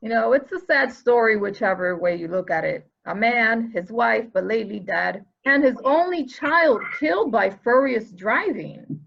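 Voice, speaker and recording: A woman's voice: fairly high pitch, animated, normal speed, gravelly. A woman playing a dour, opinionated subordinate police officer with a folksy way of talking, in a dramatic reading of a murder mystery. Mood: gloomy. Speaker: actor in a library's dramatic reading. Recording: slightly dull, lo-fi audio.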